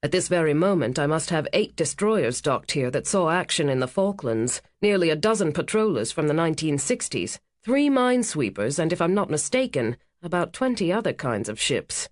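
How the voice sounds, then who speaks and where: A woman voicing a big, cheerful naval commander who talks loudly and jokes wryly, in a dry close recording. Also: low-pitched, very animated, moderate pace, few pauses, no room sound. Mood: wry, joking. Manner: loud, hearty and wry.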